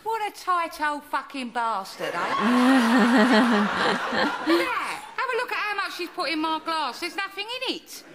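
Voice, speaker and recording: A woman's voice: on the high side, lively intonation, normal speed, very noisy, natural voice. A woman playing a cheerful middle-aged daughter, who is chirpy to her mother's face and swears under her breath. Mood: scornful.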